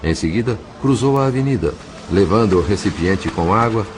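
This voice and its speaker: A man with a Brazilian accent playing a grave, compassionate narrator in an audio drama, in measured, literary phrasing. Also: lowish voice, expressive, a little hiss or hum, natural voice. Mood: solemn.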